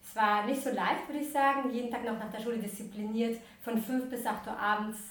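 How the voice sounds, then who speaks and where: A woman's voice: fairly high pitch, fairly even intonation, fairly quick, a bit bright. A young woman from Ukraine chats as a podcast guest. She talks freely, with lots of fillers and restarts. Her voice comes from some distance off the mic, in a very echoey room. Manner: chatty, many fillers and restarts. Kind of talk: casual interview talk.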